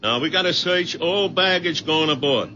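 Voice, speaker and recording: An actor playing a gruff, bossy police officer with a curt, slangy delivery in a 1970s radio drama. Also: male voice, a little high, moderate pace, close to an everyday voice. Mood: brusque. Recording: dull vintage radio broadcast with music.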